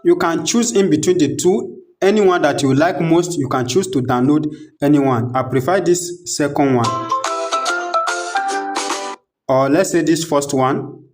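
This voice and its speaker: A man's voice: on the high side, unhurried, music in the background. A man hosting a step-by-step screen tutorial, narrating each click aloud in a repetitive, instructional way. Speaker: tutorial host.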